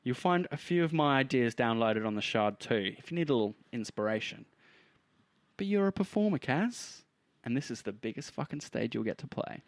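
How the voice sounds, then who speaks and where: A man's voice: medium pitch, animated, moderate pace, dry recording, natural voice. A man playing a scheming, foul-mouthed rebel professor who gives orders in a casual, coaxing way. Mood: persuasive, coaxing.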